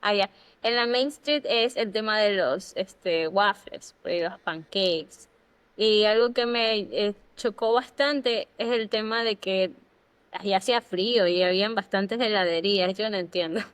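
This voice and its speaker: A woman's voice: medium pitch, a little slow, smooth, no room sound. A woman from Ecuador co-hosting a podcast, telling personal anecdotes in a casual way. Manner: animated anecdotes with fillers. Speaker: podcast co-host. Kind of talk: casual storytelling.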